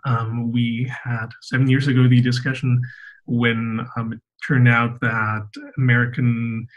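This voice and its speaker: A young man speaks as a podcast guest in a mid-pitched voice. He explains things in long, articulate stretches and slips in the odd dry joke. The tone stays conversational. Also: little pitch movement, a little slow, highs cut off. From Austria. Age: 20s. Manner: articulate, explanatory, lightly joking. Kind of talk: casual discussion.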